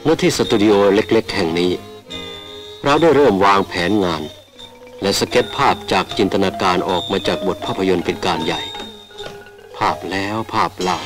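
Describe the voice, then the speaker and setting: Low-voiced man narrating over music. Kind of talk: scripted documentary narration and ceremonial recitation.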